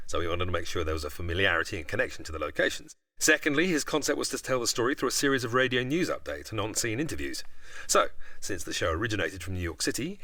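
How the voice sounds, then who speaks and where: A man's voice: lowish voice, vocal fry, sharp tone, dry recording. A man with a British accent, a podcast guest giving scripted explanations in a narrating style.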